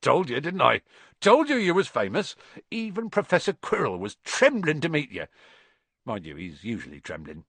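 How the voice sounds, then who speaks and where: A man's voice: on the high side, sing-song, normal speed, a bit bright, slightly rough, no echo, voice pushed up, exaggerated voice. A man voicing a huge, friendly, rustic man with a hearty, blunt, colloquial way of talking in an audiobook. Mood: hearty, proud. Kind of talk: audiobook character acting.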